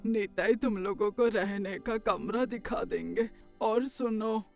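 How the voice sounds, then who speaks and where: A woman's voice: mid-range voice, takes it slowly, creaky, a little mellow, faint music behind. A woman voicing a hospitable, doting old grandmother in a cartoon story, with an affectionate, reassuring manner. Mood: welcoming. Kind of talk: Hindi cartoon story voice acting.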